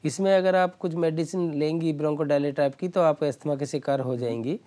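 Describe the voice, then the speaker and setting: A man's voice: a little high, steady tone, fairly quick, warm tone. A man speaking as a doctor on a health call-in show, giving step-by-step advice in an instructive way.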